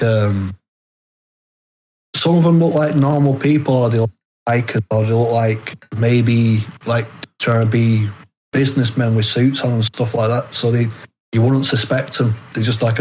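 A man answers questions as a guest author in a casual interview. His voice sits at a middling pitch. He talks haltingly, often restarting phrases as he works out what he means. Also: a little mellow, slight background noise, poor audio. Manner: halting, hesitant phrasing with repeated false starts.

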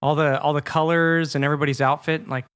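A man's voice: fairly high pitch, level tone, quick pace, fairly bright tone, smooth, dry recording. Joking man, dry close sound. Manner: joking, laughs easily. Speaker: podcast co-host. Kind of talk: casual chat.

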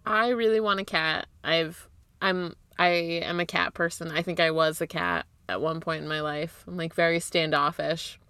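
A woman's voice: on the low side, steady tone, moderate pace, bright, forceful tone, clean tone, no echo. A woman co-hosting a podcast, chatting casually in an informal, rambling and earnest way. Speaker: podcast co-host. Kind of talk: casual chat.

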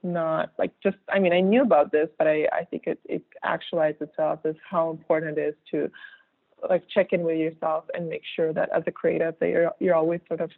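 A woman in her thirties, born in Kazakhstan with Russian as her first language, talks loosely as a guest, full of fillers and restarts. Her voice has a gravelly fry to it, and it comes through a thin, phone-like line. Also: sits low, flat delivery, a little fast, no echo. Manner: rambling, frequent fillers and restarts, laughs easily. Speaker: guest artist. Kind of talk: casual interview talk.

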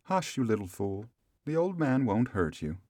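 A young storybook mother from a village, played by a male narrator, soft and gentle. Her voice is lightly raised, with a sorrowful lilt. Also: mid-range voice, expressive, normal speed, no room sound, voice pushed up, close to an everyday voice. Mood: hushing.

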